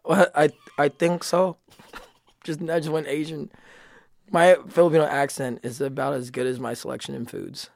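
A man's voice: on the high side, steady tone, normal speed, gravelly, a little mellow, dry recording, clean studio sound. A man who is a podcast guest, talking in a comedic casual chat with teasing, joking remarks and playful swearing.

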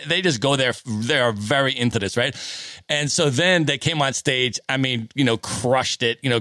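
A man hosting a podcast, telling travel stories in a lively, casual chat and often adding "man" and "right?". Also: medium pitch, dry recording. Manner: storytelling, animated, says "man" and "right?" often. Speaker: podcast host.

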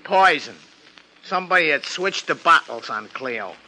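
A man's voice: a little high, lively intonation, normal speed, bright, forceful tone, low-quality recording. An actor playing an anxious, streetwise city florist in a 1950s radio detective drama, talking in slangy, ungrammatical tough-guy style. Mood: fretful, earnest.

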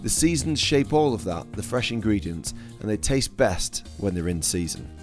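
Croaky, lowish male chef-host, upbeat read, faint music under him. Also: sing-song, normal speed. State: croaky, losing his voice. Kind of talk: scripted podcast narration.

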